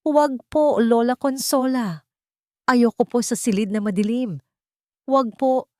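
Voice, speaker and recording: A woman's voice: on the high side, a little slow, darkish tone, dry recording, studio quality, voice pushed up, natural voice. A woman narrator voicing a weak, frightened, sick little girl in an audio story. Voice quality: weak, tired. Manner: pleading, fearful.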